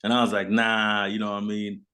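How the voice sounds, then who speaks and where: A man's voice: lowish voice, little pitch movement, a little fast, pushed and bright, clean tone. Young man, casual storytelling. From born in South Sudan, grew up in Memphis, USA. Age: young adult. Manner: informal, slangy. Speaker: guest, basketball player.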